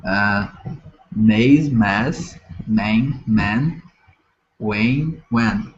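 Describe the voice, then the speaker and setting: A male English learner with a Portuguese accent and a fairly low voice. He reads single words slowly and answers briefly, through a dull, narrow call connection. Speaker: English student. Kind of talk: short answers and word drills.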